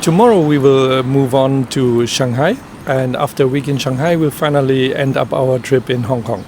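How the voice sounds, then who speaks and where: A man from Germany answering street interview questions slowly and carefully, pausing often. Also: medium pitch, a little mellow. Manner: slow, careful, pauses with uh. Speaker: tourist. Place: city street outdoors. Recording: faint street noise.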